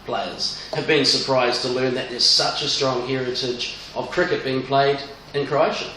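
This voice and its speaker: A man's voice: mid-range voice, normal speed, sharp tone, slight background noise. A man hosting a radio interview, asking long, rambling questions. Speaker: radio host. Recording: echoey room, mic at a distance.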